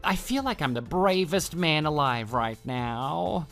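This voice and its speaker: A man's voice: on the high side, expressive, measured pace, gravelly, fairly bright tone, heavily acted. An actor playing a clingy, lovestruck male coworker in a radio drama, with a flowery, gushing manner that turns stammering when flustered. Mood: nervous, excited. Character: clingy, lovestruck male office coworker who can't take hints. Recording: voice over background music.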